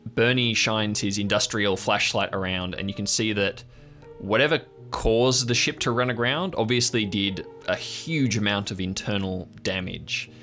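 Male game master, measured improvised narration, low and crisp-edged, light music underneath. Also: sharp tone. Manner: halting, measured descriptive delivery. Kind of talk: improvised scene narration for audio drama.

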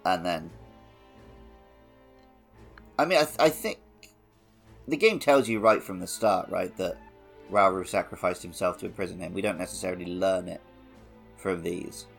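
A man's voice: low-pitched, normal speed, creaky, long pauses, faint music behind. A man co-hosting a podcast, talking through a video game in an informal, explanatory way. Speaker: podcast co-host. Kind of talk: casual discussion of a video game.

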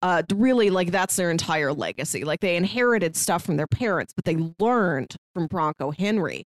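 A woman co-hosting a review podcast, chatting casually and in an animated way about a film. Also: lowish voice, normal speed, dry recording, clean studio sound.

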